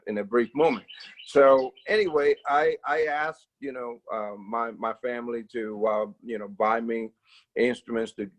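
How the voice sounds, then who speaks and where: A man from the Dominican Republic with a Dominican Spanish accent, answering casually as an interview guest, haltingly, with many fillers and repeated words. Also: medium pitch, poor audio. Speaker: interview guest, percussion educator.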